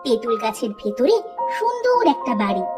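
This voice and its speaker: A woman's voice: fairly high pitch, very animated, normal speed. A woman narrating a scripted cartoon story, recorded slightly distant under music.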